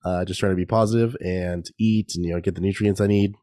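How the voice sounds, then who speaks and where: A man's voice: low voice, fairly even intonation, quick pace, dark tone, no room sound, clean studio sound. A young man hosting a casual solo podcast, stuffed up and a bit nasal from a cold, rambling and laughing at himself. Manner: rambling, self-deprecating, laughs often. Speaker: podcast host. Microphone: new podcast microphone.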